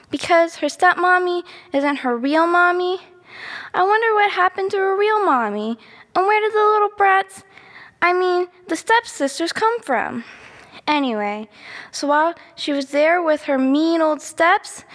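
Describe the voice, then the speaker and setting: A woman's voice: high voice, a bit bright. A woman playing a chatty, easily sidetracked little girl who rambles through a bedtime story with naive asides and self-corrections. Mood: rambling, puzzled. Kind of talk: children's storybook monologue. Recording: long reverberant room echo.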